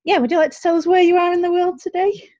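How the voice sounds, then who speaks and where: A woman's voice: very high-pitched, flat delivery, quick pace, dark tone, highs cut off. A woman hosting a podcast interview, friendly and laughing often as she asks questions. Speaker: podcast host. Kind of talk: casual interview chat.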